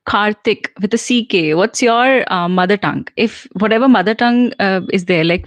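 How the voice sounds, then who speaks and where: A middle-aged woman from central India with an Indian accent, a soft skills trainer hosting a live question and answer session, chatting and answering viewer comments with many fillers and small laughs. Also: medium pitch, dry recording. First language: Punjabi. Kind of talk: live stream Q&A.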